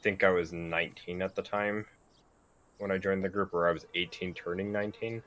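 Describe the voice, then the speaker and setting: A man's voice: low voice, fairly even intonation, a little fast, fairly bright tone. A man in his early twenties chatting casually with friends during a gaming livestream Q&A. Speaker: livestream group member. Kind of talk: casual group chat, Q&A while gaming.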